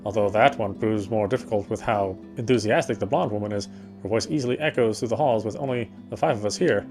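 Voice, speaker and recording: A man reading scripted first-person narration from a horror visual novel in a measured, literary manner. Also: lowish voice, darkish tone, faint music behind. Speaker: player reading the narration aloud.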